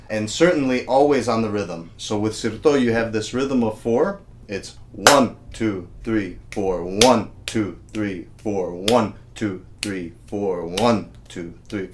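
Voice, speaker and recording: A man's voice: lowish voice, slight background noise. A man giving a casual, friendly video lesson on a traditional instrument, counting beats aloud. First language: English. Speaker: music teacher.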